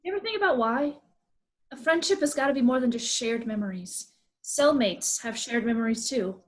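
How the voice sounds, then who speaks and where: A woman's voice: on the high side, animated, normal speed, natural voice. A woman playing a hurt, coldly accusing young woman in a staged play reading. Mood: hurt, bitter.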